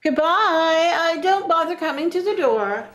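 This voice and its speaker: A woman's voice: very high voice, animated, unhurried, a bit bright, slight background noise, full character voice. An actress playing a condescending older mother-in-law, sugary and backhanded, in vintage radio sitcom style. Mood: patronizing.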